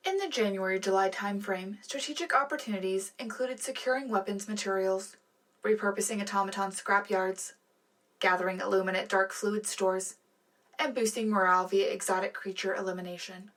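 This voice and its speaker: A woman's voice: medium pitch, fairly even intonation, brisk, a bit bright, natural voice. A woman playing a polished, earnest co-anchor on a propaganda radio broadcast in a science fiction war drama, reading scripted news. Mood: poised, rousing. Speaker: radio show co-host.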